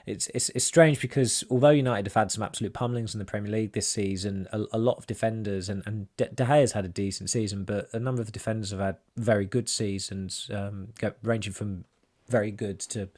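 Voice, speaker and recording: An English man with a hoarse, recovering voice discussing football on a podcast, often stumbling and repeating words. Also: lowish voice, a little mellow. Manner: hesitant, repeats words while speaking. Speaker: football journalist, podcast panelist.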